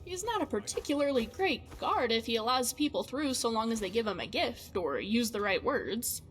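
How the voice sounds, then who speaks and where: Fantasy game companion , female actor: young, high-pitched, very expressive, wordy, wry, excitable. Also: normal speed. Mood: suspicious. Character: excitable, quirky young female adventuring companion, fretful and chatty.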